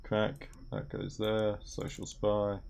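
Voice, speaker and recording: Young guy talking thru a game, deep and gravelly, reads stuff out loud, light keyboard clicking. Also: completely flat delivery, slow, noisy background. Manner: mutters aloud while reading on-screen text. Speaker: gaming video creator. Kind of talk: game commentary while playing. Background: keyboard typing and clicks.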